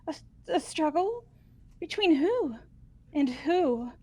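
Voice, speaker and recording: A woman's voice: high voice, sing-song, takes it slowly, darkish tone, heavily acted. A woman voicing a timid, anxious teenage student who stammers hesitantly in a video game. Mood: nervous.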